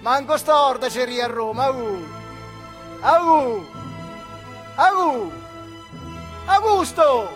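A man acts out an older, stubborn factory labourer with a central Italian accent and a high voice. He speaks to a comrade in weary, halting, colloquial bursts, like a theatre monologue. Music plays clearly behind him. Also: expressive, fairly bright tone, heavily acted. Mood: agitated. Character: weary, stubborn working-class factory labourer talking with his old comrade.

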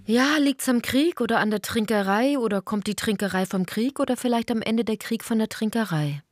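An actress as a sober, morally pointed narrator in a scripted radio play. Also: female voice, fairly high pitch, a little fast, no room sound, natural voice. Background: prominent music.

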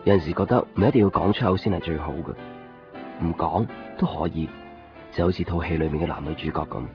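Sad thoughtful young hk cantonese man, deep voice, radio play monologue w music under it. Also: fast talker. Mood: wistful. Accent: Hong Kong Cantonese. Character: wistful lovelorn young man recalling a film date. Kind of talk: radio drama inner monologue. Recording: dull 1990s radio recording.

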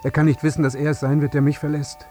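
An actor playing a grieving, vengeful father in a radio drama, speaking in a deliberate, accusing and repetitive way. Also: on the high side, monotone, dark tone, soft background music, natural voice. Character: grieving, vengeful father confronting an air traffic controller.

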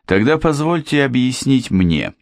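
A man voicing a composed, polite male lawyer who speaks in a measured, firm way. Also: mid-range voice, very animated, gravelly, dry recording, clean studio sound, voice pushed up. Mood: calm. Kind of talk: audiobook character voice.

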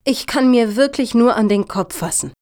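An actress playing a touchy, dramatic applicant in a satirical radio play, with a prickly, tart manner. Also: female voice, fairly high pitch, expressive, normal speed, mellow, no room sound, studio quality, close to an everyday voice. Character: touchy, dramatic female applicant, easily affronted.

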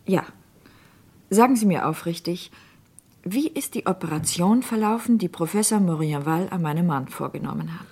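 An actress playing an anguished, probing doctor's wife in a German radio crime drama, speaking in a measured way. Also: female voice, on the low side, darkish tone, breathy edge. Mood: anxious, earnest.

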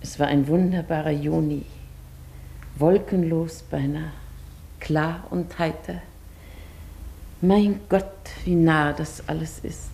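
A woman's voice: deep voice, steady tone, takes it slowly, vocal fry, long pauses, mellow, full character voice. An actress in a German radio play playing an ailing, wistful older woman of modest means, proudly reserved and hesitant. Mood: wistful, moved.

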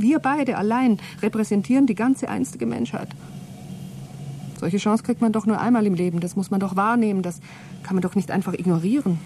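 A woman's voice: mid-range voice, dark tone, slight background noise, lightly acted. A woman acting an earnest, hopeful astronaut in a German radio play, speaking in an articulate, reflective way.

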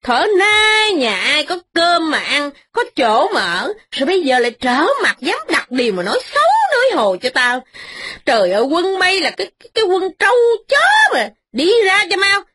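A bossy old matriarch shrieks out her scolding in a high, husky voice that leaps wildly up and down, as a woman reading a storybook puts her on. She rattles off the insults fast, never letting anyone get a word in. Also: sing-song, a bit bright, no room sound, full character voice. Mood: furious. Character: shrill scolding old mother-in-law, domineering.

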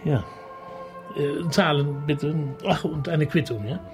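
A man's voice: fairly high pitch, takes it slowly, over music. A man playing a courteous, slightly flustered middle-aged police commissioner who loves opera, in a conversational radio crime comedy, polite, hesitant and wry. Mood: evasive, polite. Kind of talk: German radio play acting, conversational.

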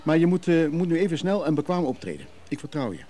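A man playing a brisk schemer giving urgent, secretive orders on the phone in a radio play, halting and commanding. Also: fairly high pitch, sing-song, dark tone.

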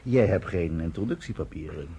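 An actor playing a smug, suspicious British army major in a Dutch radio drama, suave and condescending in an official way. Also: male voice, deep voice, expressive, moderate pace, warm tone, a little hiss or hum. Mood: suave, prying.

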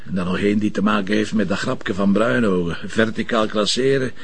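An actor playing a gruff, stubborn police commissioner in a TV drama, with a Flemish Belgian accent and a gravelly voice. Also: male voice, lowish voice, steady tone, fairly quick, slight background noise, natural voice. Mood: wry, grumbling. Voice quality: gravelly, husky. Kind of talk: naturalistic TV drama acting.

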